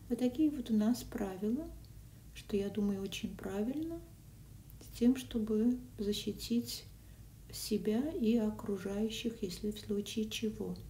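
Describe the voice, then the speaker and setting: Soft-spoken woman vlogging on a phone. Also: mid-range voice. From Russian-speaking country. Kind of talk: casual travel and food vlog narration. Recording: echoey, from a distance, faint noise.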